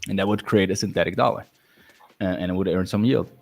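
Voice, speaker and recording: Very low male guest, relaxed, talky, restarts a lot. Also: fast talker, vocal fry, faint music behind. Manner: relaxed, explanatory, frequent restarts. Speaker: guest, crypto founder. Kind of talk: casual podcast chat.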